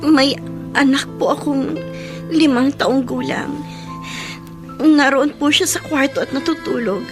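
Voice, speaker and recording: A young mother in a radio drama, humble and tearful, with a high, light voice. music plays behind her as she speaks. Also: a little slow, long pauses. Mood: ashamed. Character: Marisa, young mother ashamed of her past. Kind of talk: Tagalog radio drama acting.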